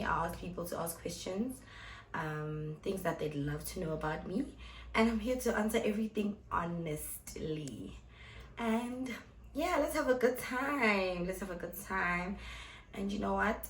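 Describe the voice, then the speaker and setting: A woman's voice: mid-range voice, a little hiss or hum. Chatty young woman in an echoey room. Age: 20s. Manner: chatty, blunt and playful, addressing viewers as guys. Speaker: vlogger and club host. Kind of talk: casual Q&A vlog to camera.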